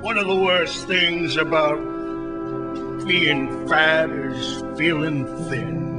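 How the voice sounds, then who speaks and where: Old radio crime drama: male actor as heavyset American private eye, unhurried, dry and weary. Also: a little high, lightly acted. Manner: deliberate, wry, world-weary. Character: wry, hard-boiled heavyset male detective. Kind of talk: 1940s radio detective monologue.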